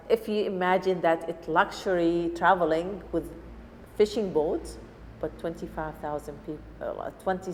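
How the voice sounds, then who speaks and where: A middle-aged woman from Syria, a cooking teacher telling her story in an interview, in earnest, halting English with restarts. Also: fairly low pitch. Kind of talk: personal story told in an interview. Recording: echoey room with faint noise.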